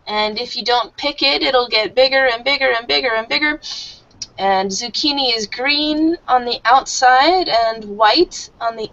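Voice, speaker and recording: A woman teaching an online English class, explaining slowly and warmly with many fillers. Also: on the high side, dull audio.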